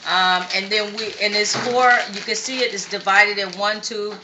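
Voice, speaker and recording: A woman's voice: mid-range voice, steady tone, normal speed, dull audio. Chatty woman cooking in an echoey kitchen. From Vietnam. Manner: chatty, explains step by step, frequent okay checks. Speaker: cooking show host. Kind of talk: home cooking demonstration. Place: home kitchen. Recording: echoey kitchen with faint background noise.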